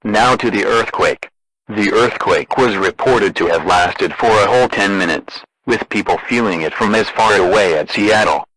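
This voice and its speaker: Bright, low-pitched deadpan male alert announcer. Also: moderate pace, dry recording, rough recording. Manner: deadpan, formal. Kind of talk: scripted emergency broadcast narration.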